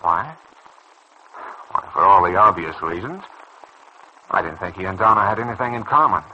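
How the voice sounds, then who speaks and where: A man's voice: low voice, animated, normal speed. A man acting in a vintage radio soap as a stubborn, judgmental young doctor, insistent and defensive. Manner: insistent, earnest, defensive delivery. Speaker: radio serial actor. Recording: dull, noisy old radio recording.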